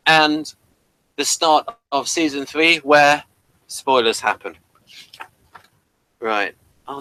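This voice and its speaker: Casual online host: British male, unhurried and rambling, with a forward, bright tone. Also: on the high side, low-quality recording. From Britain. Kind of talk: casual review chat. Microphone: webcam livestream setup.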